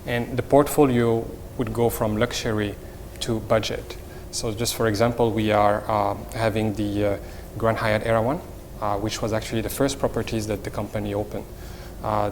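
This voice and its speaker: Moroccan-accented man in an echoey hall. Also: fairly low pitch. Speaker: hotel company executive, interview guest. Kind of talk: semi-formal interview answers. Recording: reverberant hall, faint background noise.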